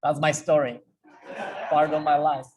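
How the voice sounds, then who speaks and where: A man from Poland with a Polish accent, a priest speaking as a guest and answering questions in a hesitant, halting way with many restarts. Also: on the high side, flat delivery, darkish tone, a little hiss or hum, rough recording. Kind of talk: casual talk with questions. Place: club meeting room.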